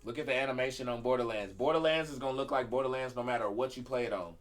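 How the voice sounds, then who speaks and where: A man's voice: mid-range voice, racing, faint music behind. A man co-hosting a live podcast, chatting casually in a slangy, profane way. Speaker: live stream podcast co-host. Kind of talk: casual chat with friends about video games.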